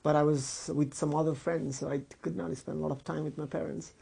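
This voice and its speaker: A man's voice: fairly high pitch, flat delivery, a little fast, gravelly, dark tone, breathy edge. A young man from Nepal, talking earnestly in an interview, often adding "like" and "right?". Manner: earnest, thoughtful, frequent "like" and "right?". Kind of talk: casual interview speech. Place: indoor room by curtained window.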